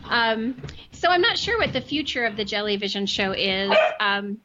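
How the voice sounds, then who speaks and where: A woman chatting as a podcast guest, talking easily with many ums and restarts, her voice a bit worn and clearing her throat now and then. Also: mid-range voice, expressive, normal speed, lo-fi sound, heavy background noise. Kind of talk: casual interview chat.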